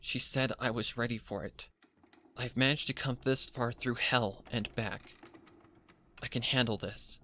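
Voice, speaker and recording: A man's voice: medium pitch, fairly even intonation, measured pace, sharp tone. A man voicing an anxious but determined female pony talking earnestly to herself in a storybook reading, with noise behind him. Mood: nervous resolve.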